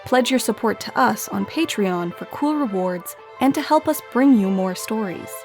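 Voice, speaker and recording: A woman's voice: a little high, animated, normal speed, a little mellow. Woman announcer over music. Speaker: podcast announcer. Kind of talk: scripted outro announcement.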